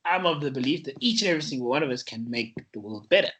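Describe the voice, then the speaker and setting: Podcast host, man, bright voice, meandering hesitant questions. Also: medium pitch, lively intonation, very fast. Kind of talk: podcast interview.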